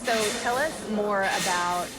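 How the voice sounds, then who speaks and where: A woman's voice: mid-range voice, unhurried. Host, Southern woman, cheerful and easygoing, noisy line. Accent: Southern American. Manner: upbeat, laughs easily. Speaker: podcast host. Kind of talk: podcast interview.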